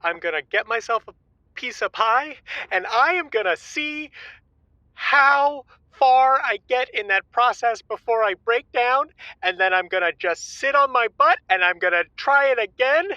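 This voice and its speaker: A fretful, squeamish man calling a comedy radio show, played by an actor, hesitant and literal. His voice is bright and swings widely in pitch, over a slightly dull line. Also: expressive, unhurried, dry recording, exaggerated voice. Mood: tentative. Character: anxious, squeamish, timid male radio advice-show caller. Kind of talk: improvised comedy call-in.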